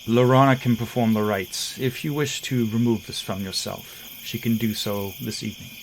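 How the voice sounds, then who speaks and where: A man voicing a calm, persuasive female were-tiger hunter who speaks in a measured, formal way. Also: lowish voice, noisy background, lightly acted.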